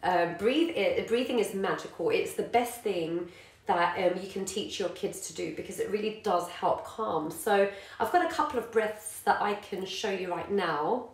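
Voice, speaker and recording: A woman's voice: medium pitch, moderate pace. A woman, a yoga teacher and parent chatting casually to live viewers with many ums. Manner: warm encouraging, many ums, greets viewers by name. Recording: echoey room.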